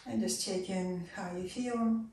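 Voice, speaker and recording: A woman leading a guided relaxation and meditation, giving soft, calm instructions that sometimes drop to a near whisper. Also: medium pitch, a little slow, slight background noise. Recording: roomy echo, voice far from mic.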